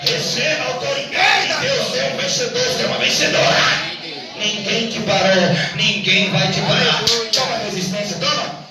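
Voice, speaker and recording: High-voiced Brazilian preacher in an echoing church. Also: male voice, expressive, normal speed, over loud music. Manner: repetitive, exhorting, often addressing listeners with rhetorical questions. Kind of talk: fervent Pentecostal sermon to a congregation. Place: church service indoors. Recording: very echoey church, distant mic, clear noise.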